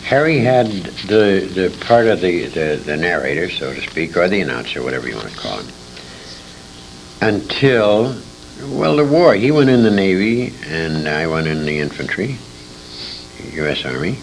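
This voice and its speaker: Deep gravelly older American man, animated storyteller. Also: normal speed. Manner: emphatic storyteller, acts out quoted dialogue, repeats words for emphasis. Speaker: interviewee, veteran radio performer recalling his career. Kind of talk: animated anecdotal reminiscing. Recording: noisy 1980s convention interview tape, rumble.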